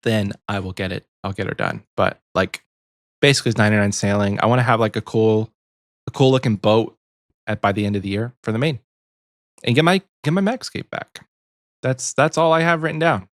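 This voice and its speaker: A man's voice: lowish voice, a little fast, dry recording, studio quality. Easygoing man in his thirties. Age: 30s. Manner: easygoing, laughs often. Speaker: podcast co-host. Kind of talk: casual chat.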